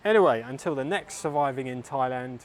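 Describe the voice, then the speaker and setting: A man's voice: mid-range voice, brisk. A middle-aged man, giving plainspoken, opinionated vlog talk to camera. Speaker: vlogger and tour guide. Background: faint traffic and street noise.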